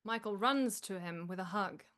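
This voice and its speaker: A woman's voice: medium pitch, normal speed, a bit bright. A woman reading stage directions as scripted narration at a live table read.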